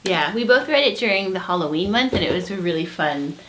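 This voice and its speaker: A woman's voice: lowish voice, lively intonation, fairly quick, a bit bright, slight background noise. A woman co-hosting a book review, chatting casually and earnestly with emphatic enthusiasm. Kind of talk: casual book recommendation chat.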